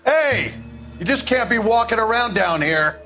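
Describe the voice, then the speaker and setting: An actor playing a short-tempered, bullying worksite guard in a video game, gruff and confrontational. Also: male voice, high-pitched, lively intonation, measured pace, sharp tone, soft background music, full character voice. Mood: hostile. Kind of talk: cinematic video game dialogue.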